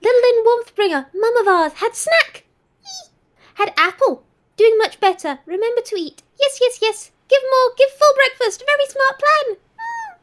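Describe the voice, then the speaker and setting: A woman voicing an excitable, doting female house spirit that talks childlike, in clipped broken phrases, in a role-play. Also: very high voice, normal speed, voice pushed up, exaggerated voice. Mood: chirpy, eager.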